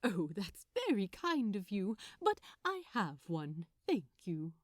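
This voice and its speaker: A woman voicing a kindly, cheerful man who talks in a bright, polite and gently effusive way. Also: medium pitch, very animated, slow pace, no echo, clean studio sound. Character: kindly, cheerful, polite male angelic gift-wrapper.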